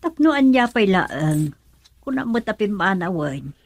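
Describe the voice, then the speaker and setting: A woman's voice: medium pitch, big pitch swings, a little slow, a little hiss or hum. An actress with a Filipino accent playing a middle-aged mother, concerned and questioning, in a radio drama. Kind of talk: Ilocano radio drama acting.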